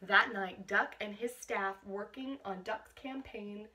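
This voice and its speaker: A woman reading a picture book aloud to children in an expressive teacherly way, stopping to explain things. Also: mid-range voice, slow pace, breathy edge. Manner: expressive, explains words and asks questions to young listeners.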